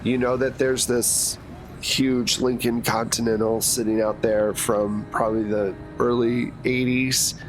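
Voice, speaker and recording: A man's voice: medium pitch, level tone, a little slow, soft background music. A man running a tabletop game as game master, narrating casually and loosely. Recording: noisy background hiss.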